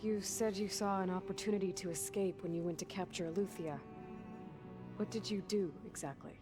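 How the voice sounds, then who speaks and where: An actress playing a steady, probing young heroine in a video game, direct and measured, blunt but caring. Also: female voice, mid-range voice, natural voice. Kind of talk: video game dialogue acting. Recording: music behind the voice.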